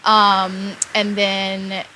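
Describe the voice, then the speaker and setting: A woman's voice: medium pitch, monotone, extremely slow, bright, forceful tone, slight background noise, poor audio. Laid-back surfer woman from Hawaii. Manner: laid-back, lots of "like" fillers. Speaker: professional surfer, guest. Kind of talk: casual interview chat. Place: outdoors on a beach. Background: music.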